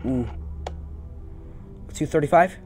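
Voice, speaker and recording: A man's voice: on the high side, takes it slowly. A young man giving casual gameplay commentary, muttering to himself and repeating phrases. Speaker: gaming video host. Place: indoor room, beside a plain wall. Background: game music.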